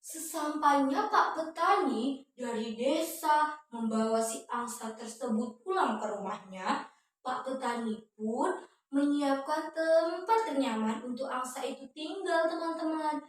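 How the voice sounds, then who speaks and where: Young woman, high voice, expressive children's storyteller talking directly to listeners, heavily echoing room. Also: a little slow. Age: young adult. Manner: expressive, often addresses the audience as friends. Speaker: student storyteller. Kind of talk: children's storytelling addressed to viewers. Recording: echoey room, distant microphone.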